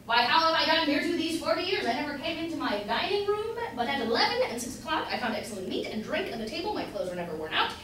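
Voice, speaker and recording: A woman's voice: sits high, big pitch swings, fast talker, few pauses, fairly bright tone. A young woman playing a jolly, carefree old husband who breaks into song in an amateur staged play reading. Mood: merry, carefree. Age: young adult. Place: indoor room at a college staged reading. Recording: echoey hall from far off, noisy.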